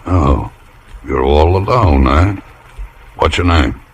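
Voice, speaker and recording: A menacing seafarer with an extremely low voice and slow, sly delivery. His rough sailor talk probes for answers in an old radio drama, through a noisy vintage broadcast. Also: lively intonation, dull audio, heavily acted. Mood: sly, probing. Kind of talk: 1950s radio drama acting.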